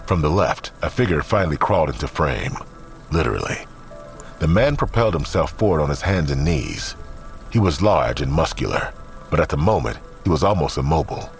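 Very deep male dramatic narrator. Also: big pitch swings, soft background music. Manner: measured, suspenseful.

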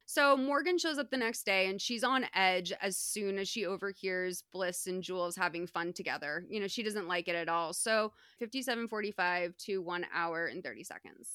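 A woman hosting a podcast, giving a wry, slangy and animated recap in casual conversation. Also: mid-range voice, normal speed, hardly any pauses, clean tone, dry recording, studio quality. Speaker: podcast host recapping a film. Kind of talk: casual comedic recap chat.

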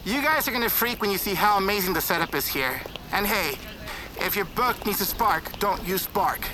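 A woman's voice: mid-range voice, animated, moderate pace, background noise, lightly acted. A woman with an American accent playing a self-promoting young fan vendor on a livestream in an audio drama. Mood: hyped.

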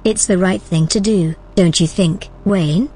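A woman playing a calm, helpful, gently teasing artificial intelligence in a naturalistic audio drama. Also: lowish voice, measured pace, slightly rough, soft background music, low-quality recording. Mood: earnest. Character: calm, obliging, playful female artificial intelligence.

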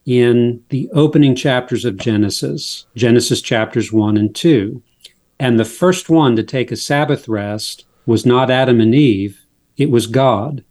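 Measured man explaining faith. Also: mid-range voice, a little mellow. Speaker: pastor and author, guest. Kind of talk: interview on faith.

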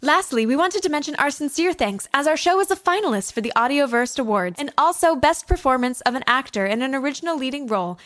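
Giggly high-voiced woman host. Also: expressive, quick pace, few pauses, fairly bright tone. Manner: keeps breaking into giggles mid-read. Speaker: podcast host reading the outro. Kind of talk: reading announcements, blooper takes.

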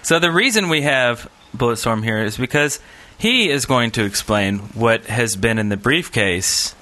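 A man's voice: medium pitch, expressive, normal speed, pushed and bright. A man co-hosting a podcast in easygoing, informal chat. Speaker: podcast co-host. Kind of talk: casual chat.

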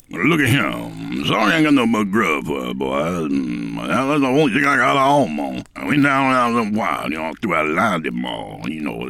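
A half-crazy old hermit mutters and rambles in a garbled, low voice, as a male storyteller puts him on. He lurches from deep grumbles to sudden squeaky yelps, swinging wildly in pitch. The sound is close and dry, with no room around it. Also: gravelly, a bit bright, no echo, heavily acted.

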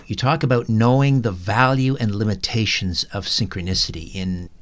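A man's voice: lowish voice, normal speed, fairly bright tone. A man hosting an interview show, putting conversational questions to his guests.

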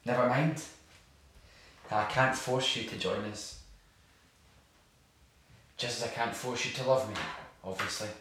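A man's voice: medium pitch, unhurried, pauses often, a bit bright, lightly acted. A man playing an earnest, lovelorn activist in an audio play, speaking with intensity. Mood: earnest, wounded. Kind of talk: audio drama acting. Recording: very echoey, faint noise.

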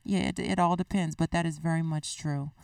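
A man from Baltimore County with a Baltimore accent, co-hosting a casual podcast chat in a measured, thoughtful way. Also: fairly high pitch, fairly even intonation, a little mellow. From Baltimore County, USA. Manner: measured, thoughtful, with frequent restarts. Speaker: podcast co-host. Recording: distant mic in a dry room.

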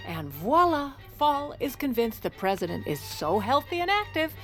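An actress playing a sharp-tongued, scheming First Lady in audio fiction, speaking in a wry, brisk, commanding way. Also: high-pitched, sing-song, faint music behind.

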